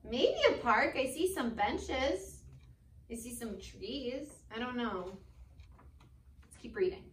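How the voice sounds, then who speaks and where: A young woman teacher reads to small children in a high voice that swoops up and down. Her delivery is slow and expressive, with sing-song lines and questions to the listeners. She is recorded at a distance in an echoey classroom. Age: 20s. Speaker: preschool teacher. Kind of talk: animated children's read-aloud, talking to young viewers. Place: indoor school classroom. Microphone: camera a few metres away. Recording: echoey room, distant microphone.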